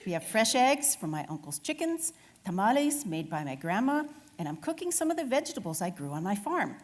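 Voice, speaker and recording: Woman reading as a welcoming, lively farmer. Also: medium pitch, fairly quick, big-room sound. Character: welcoming male local farmer in a children's storybook.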